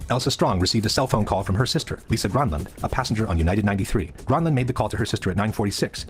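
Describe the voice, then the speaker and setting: Fast-talking male documentary narrator. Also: on the low side. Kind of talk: scripted documentary narration, sped up. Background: background music.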